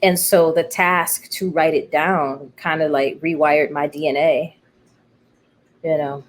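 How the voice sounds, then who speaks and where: A woman playwright speaking as an interview guest, telling her story in a candid, thoughtful, halting way. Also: sits low, fairly even intonation. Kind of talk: reflective conversational storytelling.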